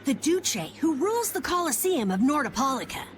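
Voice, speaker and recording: Game role: excitable American kid boy, very high, bouncy and earnest. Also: fairly quick, slight background noise. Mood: eager. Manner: earnest, eager. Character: eager, naive, excitable young boy adventurer. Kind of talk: video game voice acting.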